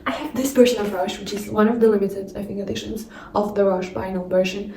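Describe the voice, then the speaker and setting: A woman's voice: medium pitch, steady tone, fast talker, dark tone, echoey room, recorded from a distance, a little hiss or hum. A young woman vlogging, chatting and gushing, full of fillers, with a non-native accent. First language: not English. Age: young adult. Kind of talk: casual chat.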